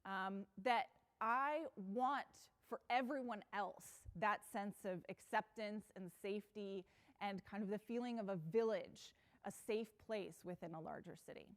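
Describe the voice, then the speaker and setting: A woman's voice: medium pitch, measured pace. A woman from the United States giving an earnest, personal conference talk on stage, with some fillers and restarts. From United States (Pacific Northwest). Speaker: conference speaker.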